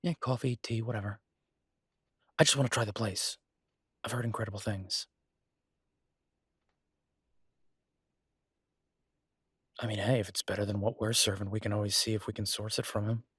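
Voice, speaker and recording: A man playing a devoted, easygoing chef and reassuring partner in an intimate audio roleplay, speaking softly and haltingly with a husky voice. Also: fairly low pitch, fairly even intonation, no echo, clean studio sound. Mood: light, coaxing.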